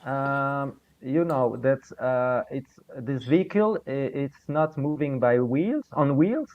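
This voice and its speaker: A man's voice: mid-range voice, slow pace. Man, muffled call audio. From Belgium. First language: French. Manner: hesitant, searches for English words. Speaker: guest. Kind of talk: casual chat on a video call.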